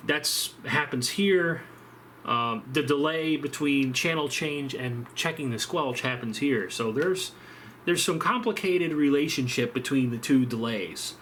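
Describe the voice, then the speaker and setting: A man giving an informal, unscripted technical explanation as a demonstrator, halting as he thinks aloud. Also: mid-range voice, fairly bright tone, a little hiss or hum. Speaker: presenter of an electronics demonstration.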